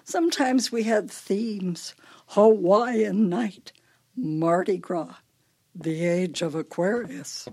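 Fretful, husky elderly wife. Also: female voice, mid-range voice, slow pace, dark tone. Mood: wistful. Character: fretful, grieving elderly wife, clinging to memories. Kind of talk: radio play acting.

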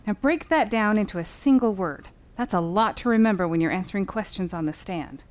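A woman's voice: mid-range voice, animated, fairly quick, lightly acted. A woman acting in a fiction podcast as a composed lawyer who coaches witnesses in an instructive, approving way. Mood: encouraging, businesslike. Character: encouraging, composed female lawyer coaching witnesses before testimony.

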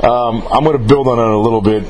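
A man interviews in a casual, chatty way at a middling pitch. He rambles a little and rewords his questions as he goes. There is clear noise behind his voice. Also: fairly even intonation, fast. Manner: informal, rambling, often rephrasing questions. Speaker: interviewer relaying fan questions.

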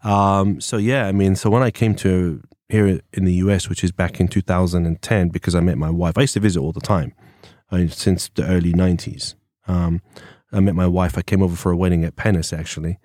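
Chatty Englishman with a deep voice. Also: steady tone, brisk, hardly any pauses, darkish tone, no room sound, studio quality. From England. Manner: chatty and digressive, many fillers and restarts. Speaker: podcast guest, former police officer. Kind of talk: casual conversation. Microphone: studio microphone.

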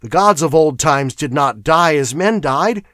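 A man's voice: a little high, lively intonation, unhurried, a bit bright, no echo, studio quality, voice pushed up. A man voicing a frightened, chattering male clerk full of eerie warnings in a fantasy audiobook. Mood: eerie dread. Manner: chattering, fearful, ominous.

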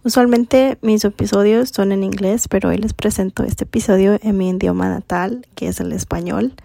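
A woman's voice: medium pitch, fairly even intonation, normal speed, vocal fry, mellow, dry recording. A woman in her twenties from Mexico, hosting a podcast and chatting casually with many fillers. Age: 20s. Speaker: podcast host.

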